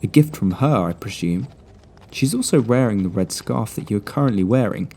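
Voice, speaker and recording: Smug young male sleuth, cool and deliberate, lively inflection, read by a man. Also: lowish voice, slight background noise. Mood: deductive.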